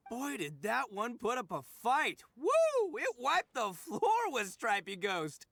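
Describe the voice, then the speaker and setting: A man's voice: extremely high pitch, huge pitch swings, takes it slowly, vocal fry, fairly bright tone, no echo, full character voice. A man voicing a brash, big-hearted but dim young oni brawler in a video game, cocky and full of stammering bluster. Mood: hearty.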